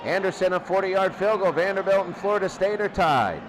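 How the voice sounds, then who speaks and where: A man doing excitable play-by-play football commentary in a radio style, often repeating key phrases. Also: sits high, fairly quick, background noise.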